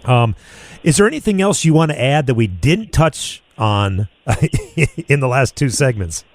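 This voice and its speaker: A man's voice: medium pitch, big pitch swings, a little slow. A man with an American accent, hosting a conversational radio interview in a friendly, appreciative manner. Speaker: talk radio host.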